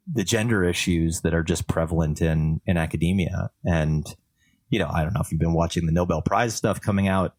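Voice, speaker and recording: A man's voice: sits low, a little mellow, no echo. A man from Canada chatting casually as a podcast co-host, explaining things in a thoughtful, discursive way.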